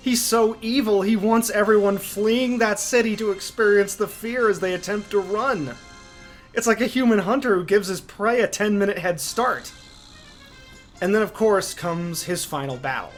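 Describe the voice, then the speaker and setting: A man's voice: high-pitched, moderate pace, soft background music. A man hosting a video essay, giving opinionated commentary in an emphatic, enthusiastic fan's delivery.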